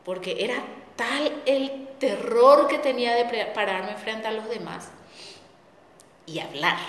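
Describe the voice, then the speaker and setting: A woman's voice: a little high, slow, long pauses. Reflective woman in an echoey room. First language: Spanish. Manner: reflective, warm storytelling with repetitions. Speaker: host, spiritual coach. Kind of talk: personal testimony talk. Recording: echoey room with long reverb.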